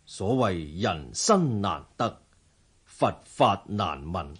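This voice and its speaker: An actor playing a humble, dignified Buddhist monk in a radio drama, speaking in a measured, formal and modest way. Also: male voice, low voice, big pitch swings, husky, heavily acted. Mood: calm, courteous. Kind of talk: Cantonese radio drama acting.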